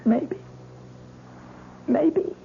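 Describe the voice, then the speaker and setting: An actress playing a distressed, stubbornly trusting deserted bride in a radio drama, defensive and emotional. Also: female voice, drawn-out words. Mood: shaky, softened. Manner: defensive, emotional, swooping delivery. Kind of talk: 1970s radio drama acting. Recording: tinny, noisy old radio recording.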